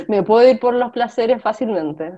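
A woman's voice: on the high side, slow, warm tone. A woman with a Chilean accent chatting casually as a podcast co-host. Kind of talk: casual chat. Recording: dull lo-fi audio, music bed.

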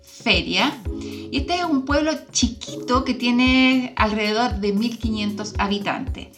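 A woman's voice: on the high side, a little slow, music in the background. A woman with a Chilean Spanish accent, hosting a travel podcast in a casual, informal, chatty way. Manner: chatty, informal, prompts her guest with questions. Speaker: podcast host.

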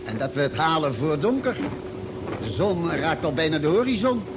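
An actor playing a thoughtful, cautious doctor on a space expedition in an old radio drama. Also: male voice, very animated, moderate pace. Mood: worried. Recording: noisy old radio drama, vehicle rumble.

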